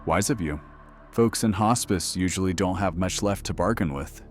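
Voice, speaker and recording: An actor playing a suave late-night horror radio host, dry and sardonic with a theatrical turn. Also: male voice, low-pitched, normal speed, faint music behind, natural voice. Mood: knowing, probing.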